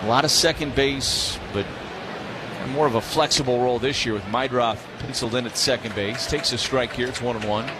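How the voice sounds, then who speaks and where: A man's voice: mid-range voice, fairly quick. An American man calling a baseball game live on the radio as play-by-play announcer. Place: stadium broadcast booth during a game. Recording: clear crowd noise behind the voice. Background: ballpark ambience.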